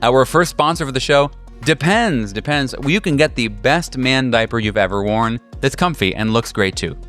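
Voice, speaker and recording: A man speaking as game master and host of a tabletop horror game, in a halting, informal way with jokey asides. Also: mid-range voice, expressive, fairly bright tone, soft background music. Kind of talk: narrating a tabletop horror game, casual banter.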